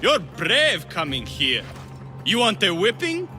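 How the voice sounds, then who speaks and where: A man's voice: high-pitched, wildly swooping pitch, slow, full character voice. An actor playing a cruel, mocking male henchman who taunts in a sneering way, in a game cutscene. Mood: taunting. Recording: game cutscene with loud music. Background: music.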